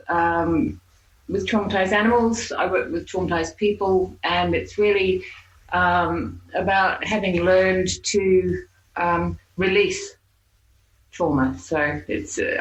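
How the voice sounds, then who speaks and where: A woman's voice: on the low side, roomy sound, poor audio. A woman from New Zealand with a blended New Zealand and Australian accent, a chatty podcast guest telling stories from home. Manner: chatty storyteller, laughs easily, some fillers. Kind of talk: casual interview chat. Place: home with pets nearby.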